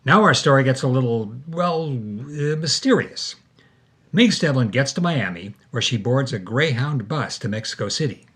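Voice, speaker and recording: A man's voice: medium pitch, animated, normal speed, fairly bright tone. A man hosting and narrating a scripted drama podcast in a theatrical, playful, tongue-in-cheek way.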